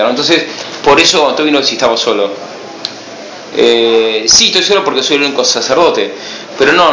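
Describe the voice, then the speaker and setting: A man's voice: medium pitch, fairly bright tone, roomy sound, muffled recording, slight background noise. A missionary priest from Buenos Aires with an Argentine accent, giving an informal talk to a small group and answering his own rhetorical questions with a firm no. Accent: Argentine Spanish, Buenos Aires.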